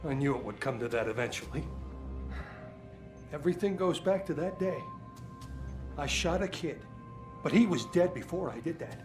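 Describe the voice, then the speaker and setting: A man's voice: fairly high pitch, expressive, moderate pace, long pauses, music in the background, close to an everyday voice. A man voicing a bitter, demoted male police desk sergeant in a game, talking defensively. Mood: somber. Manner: defensive, resentful.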